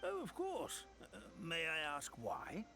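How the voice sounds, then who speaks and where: A man voicing a kindly, excitable old grandfather villager in a video game, with a creaky voice and fussy, eager manner. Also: a little high, measured pace, faint music behind, heavily acted. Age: older. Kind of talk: video game character acting.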